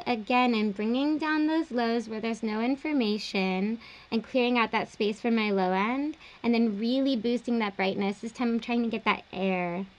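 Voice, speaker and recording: A young woman producer casually walking through her vocal processing in a home studio, relaxed and chatty with plenty of fillers. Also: on the high side, lo-fi sound. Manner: relaxed, explanatory, uses fillers like um and like. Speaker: music producer. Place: small home studio indoors.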